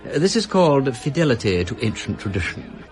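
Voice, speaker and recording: An actor playing an urbane, wry English aristocrat in a radio drama, with a pompous, flowery upper-class English manner of speech. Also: male voice, mid-range voice, big pitch swings, moderate pace, a little mellow, faint music behind, close to an everyday voice. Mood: lofty, wry. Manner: pompous, flowery, drawling mock-courtesy. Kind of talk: British radio drama acting.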